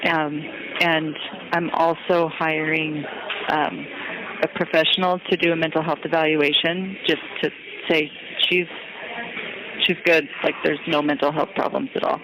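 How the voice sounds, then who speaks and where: A woman inmate talking haltingly and deliberately on a recorded phone call. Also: deep voice, level tone, noisy background. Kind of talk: phone conversation. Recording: jail phone call with background chatter.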